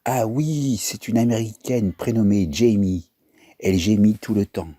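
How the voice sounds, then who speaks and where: A deep-voiced man tells wordplay jokes at an unhurried pace. His voice is husky. He keeps chuckling at his own puns. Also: fairly even intonation, mellow. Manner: slow, punning delivery with laughs at his own jokes. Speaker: comedian telling pun jokes. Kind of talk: improvised wordplay monologue.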